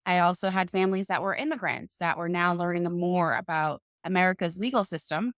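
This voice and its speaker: A woman, a podcast guest telling her career story in a confident, deliberate way. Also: fairly low pitch, fairly bright tone, no echo, clean studio sound. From Boston, US. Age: 30s. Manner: confident, deliberate, slows for emphasis. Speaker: podcast guest, financial coach. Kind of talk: interview storytelling.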